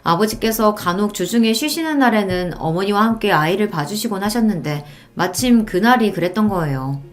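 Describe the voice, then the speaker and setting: A woman's voice: medium pitch, expressive, brisk. A woman reading a scripted first-person story in the style of a radio story show. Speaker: story narrator. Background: background music.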